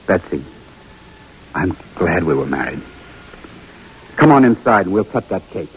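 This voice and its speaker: An actor playing an earnest, struggling young husband with big ambitions, in dramatic 1940s radio style. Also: male voice, sits low, very animated, unhurried, background noise. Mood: tender.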